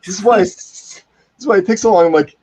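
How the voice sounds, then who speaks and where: A man's voice: high-pitched, fast talker, a little mellow, slightly rough, no room sound. A man chatting casually in a rambling way, often quoting himself as he tells an anecdote. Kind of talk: casual animated chat. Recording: dry, dull lo-fi connection.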